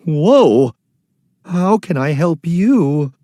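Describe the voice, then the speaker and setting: A man's voice: a little high, lively intonation, drawn-out words, dark tone, no room sound, clean studio sound, pitched above the performer's own voice. A man playing a kind, earnest runaway slave in a children's readers theatre, with an exclamatory delivery. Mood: frightened. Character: Androcles, kind runaway slave, shaky but helpful.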